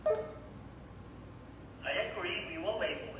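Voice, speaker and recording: Older man on a walkie talkie, slow, noisy, echoey and far from the mic. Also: high voice. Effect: heard over a walkie-talkie. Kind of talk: casual radio check.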